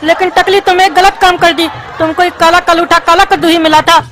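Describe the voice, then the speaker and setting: A high-pitched cartoon papa played by a woman with a Bihari accent, sentimental and doting. He talks in an exaggerated, plaintive, homespun way, with light music underneath. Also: fairly even intonation, a little fast. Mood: delighted, coaxing. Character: sentimental, doting widowed father in comic cartoon. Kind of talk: cartoon skit dialogue. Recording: music behind the voice.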